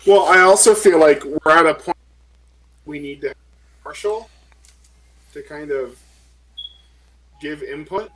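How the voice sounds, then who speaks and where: Male role-playing gamer, somewhat higher register, casual in-character group discussion. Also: measured pace, long pauses, fairly bright tone, low-quality recording. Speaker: tabletop game player.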